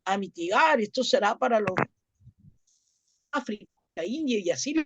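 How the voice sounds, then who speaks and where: A woman from Latin America telling a personal story to a group in an earnest, halting way, repeating words as she goes. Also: mid-range voice, lively intonation, background noise. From Latin America, outside Chile. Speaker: club volunteer and workshop participant.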